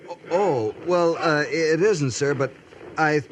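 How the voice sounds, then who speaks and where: An actor playing an eager, deferential young cavalry lieutenant with a hesitant manner in a 1950s radio drama. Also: male voice, a little high, slow. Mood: flustered. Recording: noisy 1950s radio recording.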